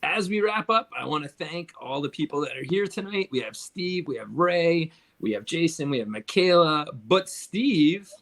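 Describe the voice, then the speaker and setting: A man's voice: on the high side, lively intonation, moderate pace, hardly any pauses, a bit bright. A middle-aged man hosting a casual film panel, reflective and often checking in with the others. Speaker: host of film discussion panel. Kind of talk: casual chat.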